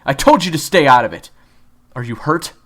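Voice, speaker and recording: A man voicing a hot-tempered, sarcastic tough teenage schoolboy who snaps loudly. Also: medium pitch, animated, brisk, voice pushed up. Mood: angry. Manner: snapping, sarcastic, loud.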